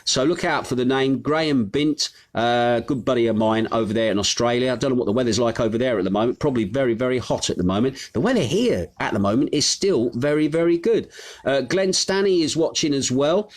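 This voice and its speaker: A man's voice: medium pitch, fairly quick. Middle-aged British man, mid-range voice, hosting a home live stream, casual and friendly as he greets viewers. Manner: chatty and friendly, reads out viewer names with many fillers. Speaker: host of a live news stream. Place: his home on a Greek island.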